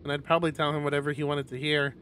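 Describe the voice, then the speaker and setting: A man reading a visual novel aloud on a stream in an expressive narrating style. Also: fairly high pitch, very fast, a little hiss or hum.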